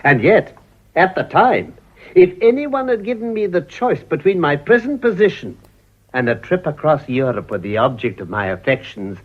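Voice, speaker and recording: An actor playing a genial, self-satisfied older British Prime Minister in a radio drama, with an upper-class English accent. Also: male voice, fairly high pitch, very animated, moderate pace. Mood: urbane, jovial. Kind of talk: broadcast radio drama acting. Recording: tinny, narrow-band old recording.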